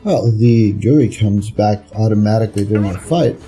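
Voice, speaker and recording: A man's voice: lowish voice, normal speed, dark tone, faint music behind. A man giving casual gameplay commentary, laid-back, muttering short asides as he plays.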